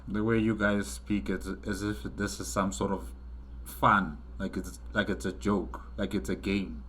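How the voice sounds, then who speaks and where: Slow, low-voiced man giving earnest reaction commentary. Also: flat delivery, a bit bright, slight background noise. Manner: earnest, halting, repeats phrases for emphasis. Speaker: reaction video host.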